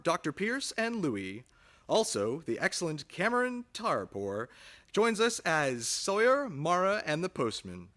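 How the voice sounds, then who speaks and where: A man's voice: high-pitched, bright, forceful tone. A man hosting a radio show, reading scripted cast announcements in an emphatic, showy manner.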